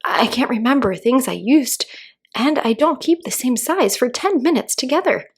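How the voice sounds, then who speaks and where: A woman's voice: high-pitched, animated, normal speed, slightly rough, pitched above the performer's own voice, full character voice. A woman voicing a polite, earnest, easily irritated little girl with a proper, hesitant manner in a children's storybook. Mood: timid, melancholy. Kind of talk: children's storybook read-aloud.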